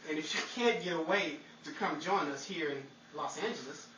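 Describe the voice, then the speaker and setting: Theatrical 1940s show host played by a man, slightly high, bright, heard a little off mic. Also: moderate pace, far from the mic. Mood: smooth announcing. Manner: theatrical, salesmanlike. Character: upbeat, smooth-talking 1940s radio show host. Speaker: host and announcer. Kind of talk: 1940s radio announcing and comedy acting. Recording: echoey room, off mic, faint noise.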